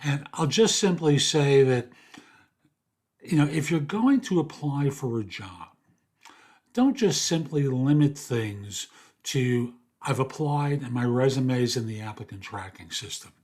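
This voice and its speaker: An older man with a raspy, hoarse voice talks through job search advice in a steady, practical way. His pitch sits in a middle range, and he keeps a plain-spoken, casual tone as a podcast host. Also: measured pace. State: hoarse after an illness. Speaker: podcast host and career coach.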